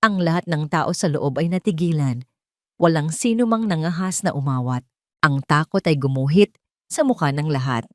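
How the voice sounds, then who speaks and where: A woman's voice: sits low, expressive, normal speed, a little mellow, dry recording, clean studio sound. A woman reading a scripted emotional story as a dramatic narrator.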